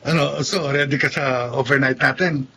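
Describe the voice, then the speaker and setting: An actor playing an easygoing, affectionate young boyfriend in a Tagalog radio drama, playful and amorous. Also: male voice, medium pitch, steady tone, normal speed, bright, forceful tone, husky. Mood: friendly, playful.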